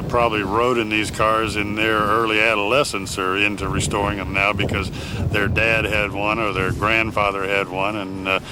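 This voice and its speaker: A man talking casually in an interview about a hobby, pausing often with fillers. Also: fairly low pitch. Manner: hesitant, many filler pauses. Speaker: classic car enthusiast interviewed at a convention. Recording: loud background noise.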